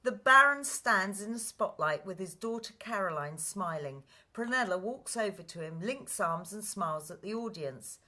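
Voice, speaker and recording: A woman narrates a scripted play. Her voice is bright and clear-cut, at a middling pitch, with plenty of lively rise and fall. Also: normal speed, few pauses. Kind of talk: scripted stage-direction narration for radio play.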